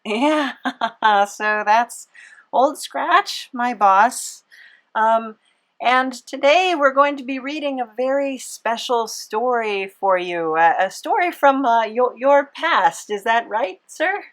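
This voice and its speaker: A woman hosting a podcast in casual, playful banter with a guest, halting and giggly. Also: on the high side, expressive, fairly bright tone. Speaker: podcast host.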